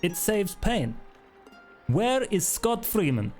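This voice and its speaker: A man voicing a sardonic, smug vampire interrogator in a tabletop game, with courteous, deliberate phrasing. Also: high voice, big pitch swings, darkish tone, soft background music, voice pushed up, full character voice. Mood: coolly menacing. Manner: deliberate, polite threats.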